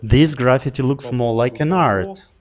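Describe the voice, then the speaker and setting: A middle-aged man speaking English with a foreign accent, giving his own honest view in an earnest, measured tone. His voice sits at an ordinary middle pitch, and he is plainly working in a language that is not his first. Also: expressive. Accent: non-native English. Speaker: vlogger. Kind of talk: walking vlog narration.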